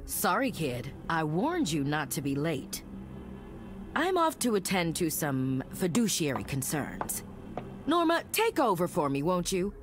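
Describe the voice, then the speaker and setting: A woman's voice: fairly low pitch, very animated, a little slow, music in the background. A woman voicing a stern, protective agent and instructor in a video game, dry, sardonic and authoritative. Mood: dismissive. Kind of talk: animated video game acting.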